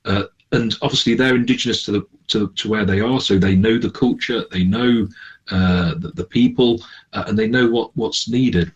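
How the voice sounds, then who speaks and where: Husky, low-voiced man. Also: normal speed, highs cut off. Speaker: interview guest, charity manager. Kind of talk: conversational radio interview.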